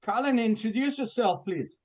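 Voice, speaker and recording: A man whose first language is Persian, hosting a video call and halting as he searches for English words. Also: extremely high pitch. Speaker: conference host. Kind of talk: casual video call chat.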